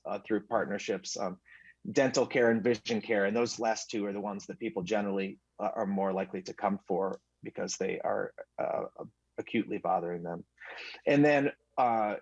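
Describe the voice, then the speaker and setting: A lower-voiced man presents at a conference. He halts often, with fillers and restarts. The sound is dull and dry, like remote audio. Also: no echo. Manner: halting, many fillers and restarts. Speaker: physician presenter.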